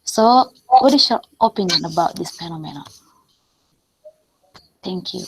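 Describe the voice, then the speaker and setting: Young female participant, Indonesian accent, careful non-native delivery, lowish pitch, online discussion question. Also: animated, measured pace, gravelly, slight background noise, rough recording. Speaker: audience member asking a question. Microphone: video call.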